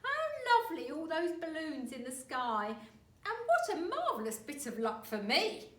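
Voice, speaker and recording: A woman voicing a sly, nasty old storybook husband, mocking and exaggerated, with drawn-out words. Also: high voice, very animated, fairly bright tone, pitched above the performer's own voice. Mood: gloating. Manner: mock-sweet, exaggerated, drawn out. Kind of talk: storybook character voice. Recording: roomy, distant mic, faint noise.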